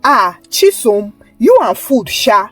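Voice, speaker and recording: A woman's voice: on the high side, very animated, slow pace, a bit bright, dry recording, soft background music, full character voice. A woman voicing a stern, exasperated auntie in a folktale, scolding her greedy niece. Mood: amused warning. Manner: scolding, suspicious, interjections like "oh" and "sha".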